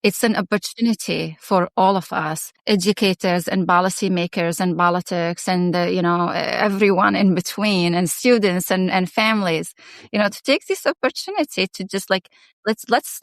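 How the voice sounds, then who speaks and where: A woman's voice: medium pitch, no room sound. A middle-aged woman from Syria, a guest in an interview, speaking in a reflective, searching way with many restarts and fillers. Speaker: guest, education founder and former principal. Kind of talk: casual interview conversation.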